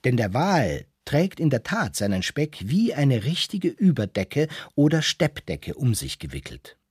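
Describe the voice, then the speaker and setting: A man with a husky voice giving an explanatory literary narration, addressing the listener directly. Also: mid-range voice, huge pitch swings, unhurried, no room sound, clean studio sound. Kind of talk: essay-like literary narration in a radio drama. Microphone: close studio microphone.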